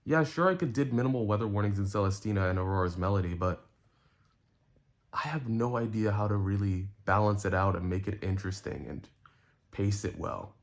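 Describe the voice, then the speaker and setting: A man who creates online content, talking casually and earnestly to his viewers in a halting way, repeating phrases for emphasis. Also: fairly low pitch. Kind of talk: casual explanatory rant to viewers.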